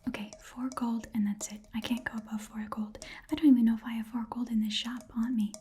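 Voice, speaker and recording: A woman voicing a shifty, chatty goblin pawnshop keeper in an ASMR roleplay, whispering breathily in a rambling, hesitant way and repeating phrases softly. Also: fairly high pitch, little pitch movement, a little fast, breathy edge. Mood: reluctant bargaining. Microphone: close ASMR microphone.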